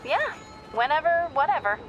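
A woman's voice: high voice, big pitch swings, moderate pace, dull audio. A woman playing a confident, persistent young American newspaper reporter in a video game, direct and drily teasing. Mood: curious, confident. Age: young adult. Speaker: investigative reporter character. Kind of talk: cinematic video game acting. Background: background music.

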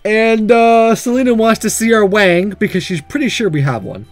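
A man's voice: high voice, normal speed, faint music behind. A man hosting a game playthrough, chatting casually in a goofy, jokey, theatrical way. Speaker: gaming video host reading and reacting. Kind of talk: casual let's-play commentary.

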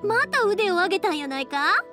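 An actress playing a cheerful, warm-hearted young woman with a Kansai accent in an anime game, speaking in a friendly, breezy, folksy way. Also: very high voice, very animated, brisk, faint music behind, heavily acted. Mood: earnest.

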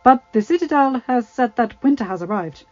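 A woman's voice: a little high, lively intonation, a little fast, a little mellow, dry recording, faint music behind, pitched above the performer's own voice, lightly acted. A doddering old court scholar bumbles through his apology, voiced by a woman. The recording is a little dull. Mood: flustered.

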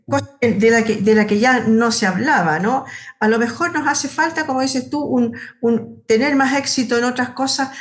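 A woman in her sixties with a Chilean accent, her voice set a little high. She talks in the conversational way of an interview host, speaking from a room at home on a video call. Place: living room at home, indoors.

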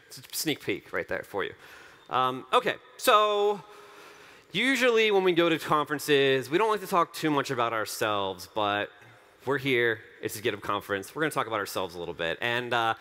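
A man's voice: mid-range voice, pushed and bright. Keynote speaker: man in his thirties, earnest and upbeat, mid-range voice, headset mic on a big stage. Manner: earnest, enthusiastic, repeats phrases for emphasis. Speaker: company co-founder giving a keynote. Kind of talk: conference keynote speech. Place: large dark conference stage.

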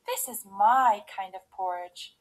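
A woman voicing a brash, fussy little girl in a storybook, with dramatic exclamations and drawn-out complaints. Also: medium pitch, close to an everyday voice. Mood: delighted.

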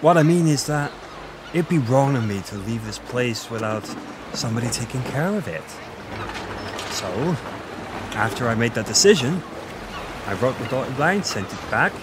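A man's voice: mid-range voice, lively intonation, normal speed, long pauses, heavy background noise, natural voice. A man with an Irish accent playing a friendly, flirty fantasy village innkeeper in a conversational audio roleplay, chatty and confiding. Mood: surprised, earnest. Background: village ambience with distant chickens.

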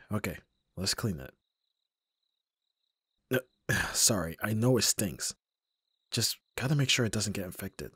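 A man playing an abrasive young bodyguard who is secretly soft, in an ASMR roleplay audio drama, with gruff, protective exasperation. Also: fairly low pitch, lively intonation, unhurried, pauses often, no echo, clean studio sound, exaggerated voice. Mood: concerned, gentle.

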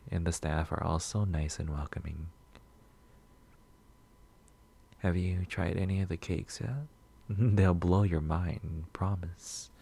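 A man playing a shy, sweet, nervous coffee shop regular in a soft-spoken ASMR roleplay, gentle, hesitant and rambling. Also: low-pitched, vocal fry, slightly rough, exaggerated voice. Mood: friendly, tentative. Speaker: roleplay audio performer.

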